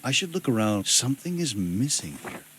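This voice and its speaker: A man's voice: mid-range voice, big pitch swings, moderate pace, a bit bright. A man playing a somber, subdued grieving brother who is the protagonist of a video game. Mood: somber, wary. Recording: rain and ambient noise.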